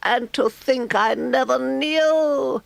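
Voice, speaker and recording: A man's voice: very high voice, slow, vocal fry, dry recording, pitched above the performer's own voice, heavily acted. A man voicing a boastful, dreamy male toad who is in love with motor cars, speaking in a rapturous, grandiose way. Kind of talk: audiobook character voice.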